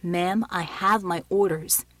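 A woman's voice: lowish voice, slow, no echo. A woman voicing a protective, firm military man in an audiobook story. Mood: insistent. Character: steady protective military male helper, quiet but commanding.

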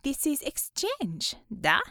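A woman's voice: sits high, huge pitch swings, takes it slowly, gravelly, sharp tone, no echo, studio quality, pitched above the performer's own voice, exaggerated voice. A woman voicing a ruthless female commissar in an audiobook, with a Russian accent and taunting, threatening broken English. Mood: scornful, demanding.

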